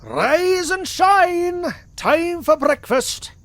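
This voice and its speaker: A man's voice: very high voice, very animated, extremely slow, fairly bright tone, no room sound, heavily acted. A man voicing a tough, proud young cartoon duck prospector in a fan comic dub, blunt and boastful. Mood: brisk, bossy.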